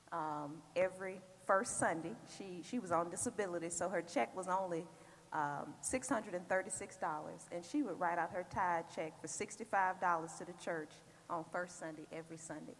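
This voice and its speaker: Low-voiced woman panelist in echoing hall. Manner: measured, reflective, with filler ums. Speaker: panelist and educator. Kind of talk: panel discussion. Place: large church hall. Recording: roomy hall with faint hum.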